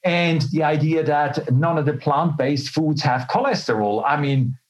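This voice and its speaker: A man's voice: on the high side, measured pace. A middle-aged man from Switzerland telling his own story as an interview guest, candid, colloquial and self-deprecating. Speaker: interview guest, health professional. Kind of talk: conversational personal storytelling.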